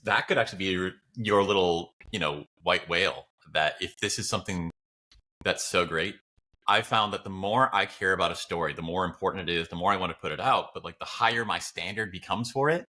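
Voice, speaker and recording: Low-pitched man, bright and forceful. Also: fairly quick. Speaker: podcast co-host and video creator. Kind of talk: casual chat.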